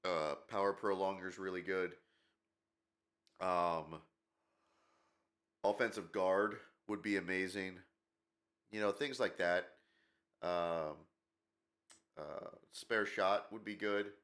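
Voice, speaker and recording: A man's voice: deep voice, fairly even intonation, slow, long pauses, a bit bright. A man hosting a gaming channel, talking in a rambling, opinionated way with lots of fillers, his nose stuffed up from a cold. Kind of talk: casual commentary video.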